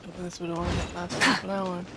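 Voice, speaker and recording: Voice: mid-range voice, flat delivery, fairly quick, very noisy. A game streamer giving casual commentary in short, muttered asides while playing. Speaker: gameplay streamer. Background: game music and sounds.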